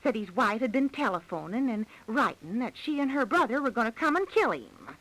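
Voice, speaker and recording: Fussy, high-voiced worried housewife, old radio. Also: female voice, big pitch swings, brisk, a bit bright, rough recording. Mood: anxious, gossipy. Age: middle-aged. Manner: hesitant, fussy, folksy. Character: worried, nosy middle-aged housewife, talkative and insistent. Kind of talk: 1930s radio drama acting. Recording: vintage 1930s radio broadcast.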